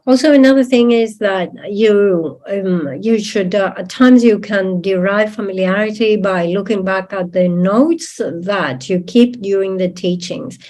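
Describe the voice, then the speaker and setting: A woman whose first language is not English, interpreting a Buddhist teaching in a deliberate manner and checking in with listeners. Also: medium pitch, a little mellow. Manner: deliberate, with tag questions to listeners.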